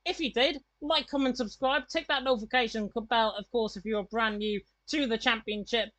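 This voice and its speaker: A woman commentating on sim racing, brisk and emphatic as she signs off. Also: fairly high pitch, a bit bright, no echo. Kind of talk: broadcast sign-off commentary.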